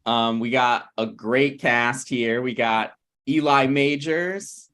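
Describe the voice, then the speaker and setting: A man casually introducing a cast on a live stream as writer and host, hesitant and halting. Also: medium pitch, a bit bright.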